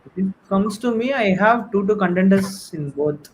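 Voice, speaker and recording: Slightly high-voiced Indian male host. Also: brisk, a little hiss or hum, rough recording. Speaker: panel show host. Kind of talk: casual panel moderating.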